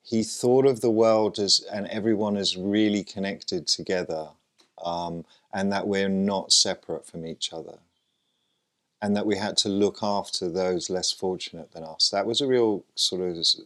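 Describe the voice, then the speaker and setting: A man born in Lebanon, talking as an interview guest, slow to find his words and often restarting with fillers. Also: deep voice, steady tone, a bit bright. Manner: hesitant, many fillers and restarts. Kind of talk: conversational interview.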